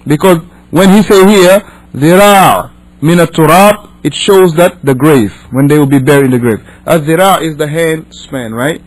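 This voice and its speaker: Higher-pitched man teaching a religious class. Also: fast, rough recording. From Senegal. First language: not English. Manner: emphatic, repeats and rephrases, asks rhetorical questions. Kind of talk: religious lesson, reading a text and explaining it.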